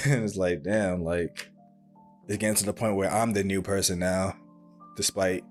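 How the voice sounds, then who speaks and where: A young man hosting a solo podcast, talking in a soft, calm voice that can't project, rambling thoughtfully with many fillers and restarts. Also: deep voice, unhurried, faint music behind. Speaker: podcast host. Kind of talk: reflective solo podcast monologue.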